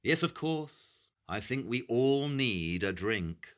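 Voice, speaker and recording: An actor playing a brilliant, grave Victorian detective in an audio play, explaining in a measured, articulate, deliberate way. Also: male voice, medium pitch, very animated, fairly bright tone, slight background noise.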